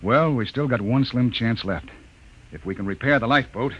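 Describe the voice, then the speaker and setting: An actor playing a commanding, weary spaceship captain with clipped orders in an old science fiction radio drama. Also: male voice, medium pitch, moderate pace, slightly rough, lightly acted. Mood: grim. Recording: dull, noisy old radio recording.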